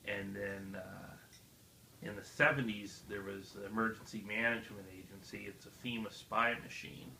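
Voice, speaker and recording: A man's voice: fairly low pitch, fairly even intonation, moderate pace, gravelly, sharp tone. A man chatting casually as a guest, explaining some history in an informal way. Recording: roomy echo with background noise.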